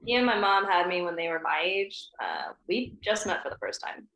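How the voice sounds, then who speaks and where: A woman's voice: on the low side, expressive, normal speed, creaky, fairly bright tone. Guarded teen girl, read by a woman. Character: guarded, wry teenage girl. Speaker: actor at a table read. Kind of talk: script table read. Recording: echoey room.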